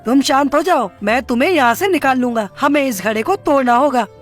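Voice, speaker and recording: Nagging, worried cartoon crow husband, played by a woman, high voice, insistent delivery, light music behind. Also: a little fast. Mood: firm. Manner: scolding, insistent. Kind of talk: animated moral story dialogue.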